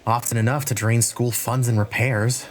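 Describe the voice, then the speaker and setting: A man's voice: mid-range voice, little pitch movement, moderate pace, lightly acted. A man voicing a friendly, chatty young male dinosaur student, a helpful class president, in a visual novel fan dub. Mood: casual explaining.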